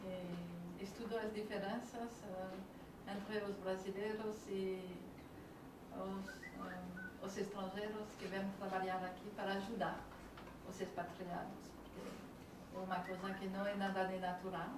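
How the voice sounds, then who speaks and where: A woman's voice: medium pitch, little pitch movement, a little slow, recorded from a distance. French-accented woman in an echoey, noisy room. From France. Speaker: student introducing herself. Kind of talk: explaining to a class.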